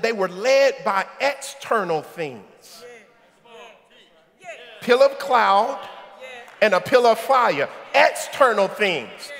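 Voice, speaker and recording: A middle-aged man preaching a sermon in a large church sanctuary on a headset mic, shouting at the peaks and tossing quips at the congregation. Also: high-pitched. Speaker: pastor. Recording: echoey sanctuary with congregation and organ. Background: congregation and soft music.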